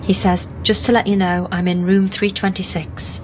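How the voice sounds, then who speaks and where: Radio drama: actress plays supportive young woman, mid-pitched, questioning, practical, faint noise behind. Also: level tone, normal speed, close to an everyday voice, poor audio. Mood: calm, coaxing. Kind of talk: naturalistic radio drama acting.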